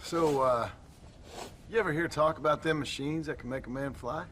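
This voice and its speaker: A tough frontier father voiced by a man with a plain American Western drawl, pitched a little high for a man. It is video game cutscene acting, folksy and direct, with faint noise around it. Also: normal speed, a bit bright, close to an everyday voice. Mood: fond, wry.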